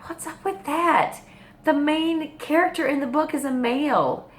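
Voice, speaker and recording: A woman's voice: high-pitched, measured pace, echoey room. A woman chatting casually to viewers as a book vlogger, rambling hesitantly, often trailing off and backtracking. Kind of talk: casual rambling video chat. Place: hospital room.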